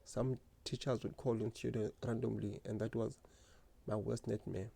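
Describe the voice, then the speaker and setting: A man telling his own story in a slow, earnest, reflective way, stuttering often with repeated words and restarts. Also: lowish voice, flat delivery, vocal fry, dark tone, a little hiss or hum. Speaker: speaker sharing lived experience. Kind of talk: personal reflective monologue.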